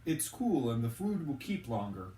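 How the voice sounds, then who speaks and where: A man voicing a reserved, worldly undead gentleman in a storybook reading, dry and matter-of-fact. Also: mid-range voice, big pitch swings, moderate pace, natural voice. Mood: helpful. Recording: roomy, echoing, distant from the mic.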